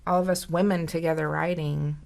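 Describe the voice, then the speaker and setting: A woman's voice: low voice, fairly even intonation, fairly quick, creaky, darkish tone. A woman in her forties co-hosting a casual chat about motorcycles, joking and poking fun at herself. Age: 40s. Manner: self-mocking, joking, rambling. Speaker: co-host.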